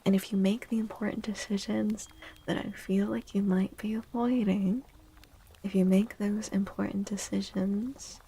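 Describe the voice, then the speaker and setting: A woman speaking softly and gently in a breathy near-whisper, chatting casually as an ASMR streamer giving readings. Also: mid-range voice, rough recording. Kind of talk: soft-spoken ASMR, casual chat.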